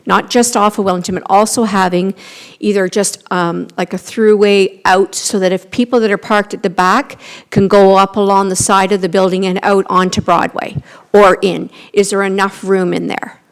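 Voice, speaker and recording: A woman's voice: mid-range voice, fairly even intonation, moderate pace, smooth. A middle-aged woman with a Canadian accent, a town councillor asking questions at a council meeting, with many fillers and restarts, speaking into a desk microphone in a council chamber.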